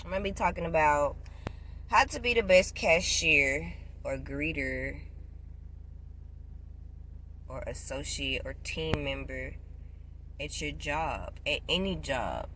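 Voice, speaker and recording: A chatty young woman in her twenties talks loud and playful, looping back over her own words. Her voice is bright and pushed forward. Faint traffic hums in the background. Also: low voice, slow, pauses often. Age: 20s. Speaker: vlogger. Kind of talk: casual advice vlog.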